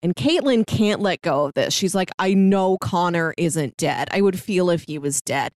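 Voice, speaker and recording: A woman's voice: on the low side, animated, normal speed, darkish tone, dry recording, studio quality. A woman co-hosting a podcast, giving a casual, conversational review and recap. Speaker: podcast co-host.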